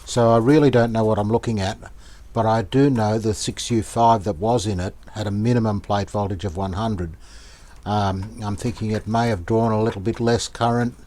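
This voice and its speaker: A man's voice: lowish voice, moderate pace. A man gives casual hands-on repair commentary, thinking aloud in a tentative way. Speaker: hobbyist radio restorer presenting a repair video. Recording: steady background noise.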